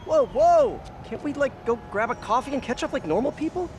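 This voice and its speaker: Game role: wisecracking young American hero, very high male register, lively delivery, playful. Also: brisk, a little hiss or hum. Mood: pleading, flippant. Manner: quippy, playful, chatty. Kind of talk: video game cutscene acting. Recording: loud background music.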